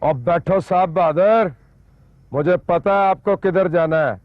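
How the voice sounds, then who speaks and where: An actor playing a cocky, hopeful horse-cart driver in a television drama, speaking colloquially with a Punjabi accent in a jaunty, sardonic way. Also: male voice, high voice, a little slow, dry recording, narrow, tinny audio. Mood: sardonic, triumphant. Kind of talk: colloquial television drama acting, musing aloud.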